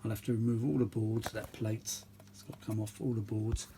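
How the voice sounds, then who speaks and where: A man's voice: on the low side, level tone, brisk, noisy background. A middle-aged or older man giving casual, chatty repair commentary, rambling and thinking aloud as he works. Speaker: vintage audio repair vlogger.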